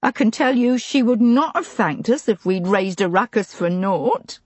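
A woman's voice: a little high, expressive, unhurried, a little mellow, husky, no room sound, pitched above the performer's own voice. Woman doing a fretful matronly housekeeper. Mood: anxious.

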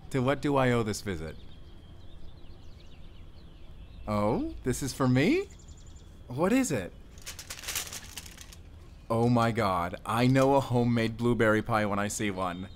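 A man playing a friendly, bashful forest ranger and doting father in an intimate audio roleplay, chatty and gently teasing. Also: mid-range voice, big pitch swings, a little slow, long pauses, slight background noise. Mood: teasing, delighted.

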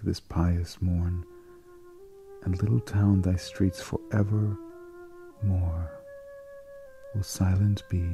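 A man giving a dramatic poetry recitation in a solemn, deliberate manner, pausing between short phrases. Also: low voice, gravelly. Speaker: poetry reader. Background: flute music.

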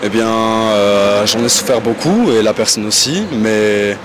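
A young man in his twenties with a Belgian French accent, answering calmly outdoors into a handheld mic. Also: on the low side, measured pace. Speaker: street interviewee. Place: outdoors on a city street. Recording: street traffic noise.

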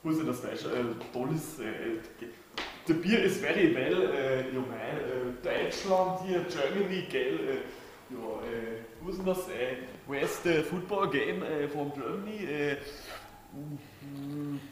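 A young man acting in an amateur comic skit, talking in a halting, hesitant way full of fillers. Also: a little high, far from the mic. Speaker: student actor in a skit. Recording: very echoey hall, faint noise.

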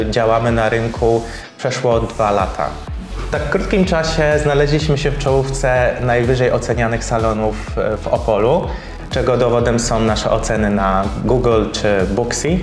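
A man in his thirties speaks in a measured, slightly formal way, pausing on small fillers as he describes his business. His voice has a middle pitch and sounds roomy, with soft music under it. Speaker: salon co-owner. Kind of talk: sponsored testimonial interview. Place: bright hair salon, indoors.

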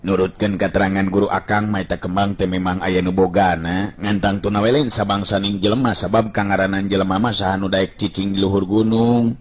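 A man voicing a calm, knowing guide in a radio story, explaining things seriously and cautiously in a measured way. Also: low voice, natural voice. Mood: earnest explaining. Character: calm, knowledgeable male guide, earnest and cautionary.

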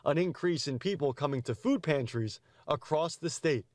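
A young man reporting live for local TV news outdoors at a church drop-off, speaking into a handheld station mic with a brisk, upbeat delivery. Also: fairly high pitch, lively intonation, a little mellow. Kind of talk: live field report and packaged voice-over. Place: outdoors at a church parking lot.

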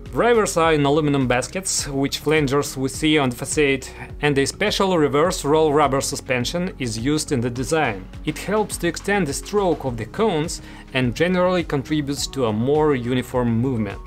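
A man in his thirties giving a scripted product review as host, speaking to camera in an indoor room. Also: a little high. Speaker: audio gear reviewer, host. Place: small studio room, indoors. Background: music.

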